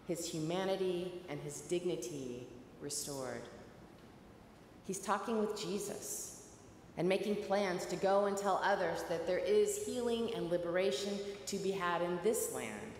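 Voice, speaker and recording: Middle-aged woman preacher, unhurried and reflective, slightly low voice, faint hiss. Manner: slow, measured delivery with reflective pauses. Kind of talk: sermon. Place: large stone church, at a pulpit. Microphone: pulpit mic at a distance. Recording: echoey church with long reverb, faint hiss.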